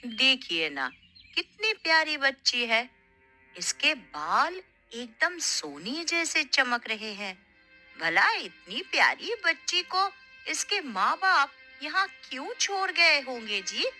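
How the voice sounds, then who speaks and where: A woman voicing a kind, tender village woman in an expressive, melodramatic cartoon storytelling style. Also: high-pitched, takes it slowly, faint music behind, exaggerated voice. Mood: tender, doting. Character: kind, tender village woman who adopts an abandoned baby girl. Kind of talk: dramatised children's moral story narration.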